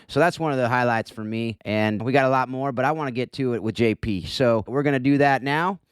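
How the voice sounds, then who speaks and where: A man's voice: medium pitch, brisk, smooth, dry recording, clean studio sound. A man from California hosting a casual podcast, friendly and given to repeating words as he talks. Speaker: podcast host.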